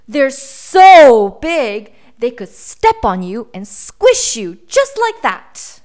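A woman's voice: high voice, sing-song, drawn-out words, darkish tone, pitched above the performer's own voice, heavily acted. A woman voicing a bold small boy in a storybook, emphatic and boastful with scary tales.

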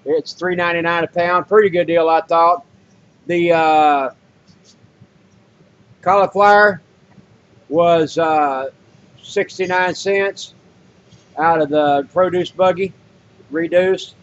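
A man's voice: fairly high pitch, steady tone, takes it slowly, pauses often, fairly bright tone. An older man, rambling folksy about groceries and canning in a casual home vlog, with many fillers. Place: small home room indoors. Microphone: webcam or handheld camera. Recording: dull lo-fi home camera audio.

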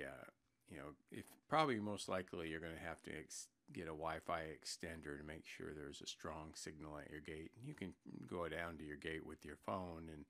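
An American man giving an informal product explainer as a presenter, plain-spoken and hesitant, pausing mid-sentence. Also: rumbling low, gravelly, a bit bright. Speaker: product presenter for an intercom seller. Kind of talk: informal product explainer over screen recording.

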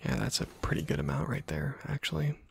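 A man softly whispering casual, rambling ASMR commentary over a video game, with a breathy, husky voice. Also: on the high side, huge pitch swings, a little fast, slight background noise. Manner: soft-spoken, rambling to himself. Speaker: ASMR gaming host.

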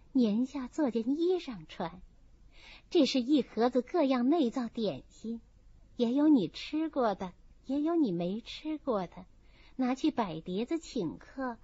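A woman's voice: high voice, dark tone, husky, no room sound, full character voice. A woman voicing a modest, friendly young maid in an audiobook, explaining things politely and in good order. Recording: dull, muffled audio.

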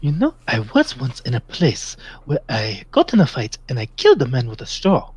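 A man playing in an online tabletop game, chatting in an excitable, rambling way. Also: medium pitch, normal speed, slightly rough, no room sound. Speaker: player. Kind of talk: casual chat during a tabletop game.

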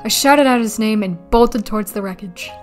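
A woman's voice: a little high, normal speed, soft background music, lightly acted. A woman playing an earnest adult daughter who recalls searching for her father, in a scripted documentary reenactment. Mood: earnest, recalling.

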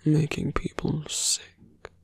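A man playing a seductive, embittered slum-born villain in a fantasy ASMR roleplay, speaking slowly and intimately in a breathy, gravelly half-whisper. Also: no room sound, close to an everyday voice. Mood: bitter, intimate. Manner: slow, intimate, half-whispered, deliberate pauses.

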